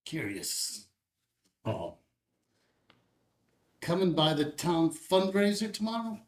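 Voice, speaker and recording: A man playing a hesitant, kindly father of a pilot in a table read, speaking haltingly. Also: high voice, sing-song, heavily acted. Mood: tentative.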